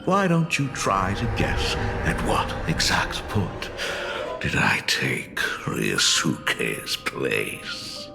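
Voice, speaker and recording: Creepy gravelly impostor villain, real slow n deep, mocking, theatrical, game cutscene. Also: male voice, wildly swooping pitch, music in the background, full character voice. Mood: taunting, menacing. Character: sinister male impostor posing as a father, mocking and smooth.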